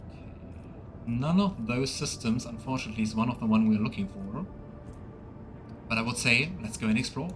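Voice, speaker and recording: A man's voice: medium pitch, fairly quick, noisy background. A man giving casual let's play commentary as he streams a game, talking to himself and his viewers.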